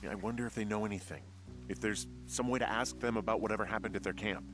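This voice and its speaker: An actor playing a troubled, stubborn fire lookout, earnest and plain-spoken, in a naturalistic video game style. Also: male voice, on the low side, steady tone, quick pace, music in the background, lightly acted. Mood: pensive.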